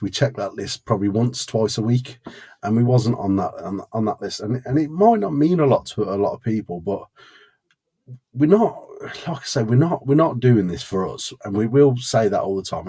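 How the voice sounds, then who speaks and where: A man's voice: on the low side, dark tone, breathy edge. A British man co-hosting a podcast, chatting casually with a friend. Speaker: podcast co-host. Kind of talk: casual chat between friends.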